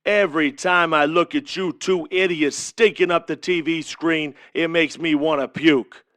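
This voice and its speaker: Man playing a cocky, higher-pitched wrestling heel. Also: fairly even intonation, unhurried, a bit bright, no echo. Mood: scornful taunting. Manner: boastful, taunting, showy. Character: cocky, boastful 1980s pro-wrestling pretty-boy villain. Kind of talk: wrestling promo in an audio drama.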